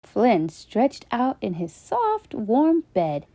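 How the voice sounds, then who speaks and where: A woman reading a children's bedtime story aloud, gentle and expressive. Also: a little high, slow pace.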